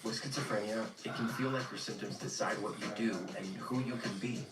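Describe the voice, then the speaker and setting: Man, ad voice-over, smooth, selling, distant echoing TV sound. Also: on the low side, steady tone, fairly quick, over loud music. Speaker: commercial announcer. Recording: TV ad heard from afar, roomy.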